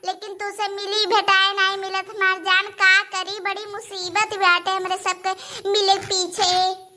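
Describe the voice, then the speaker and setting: A woman's voice: extremely high pitch, flat delivery, unhurried. A woman voicing a clingy, lovesick young woman in an amateur cartoon, pleading with endearments in an Awadhi accent. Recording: echoey room with faint noise.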